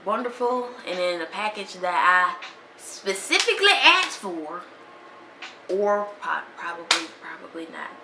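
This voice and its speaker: A woman's voice: lowish voice, expressive, measured pace. A woman, talking casually and with animation as a podcast host in a slangy monologue. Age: 20s. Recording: echoey room with faint noise.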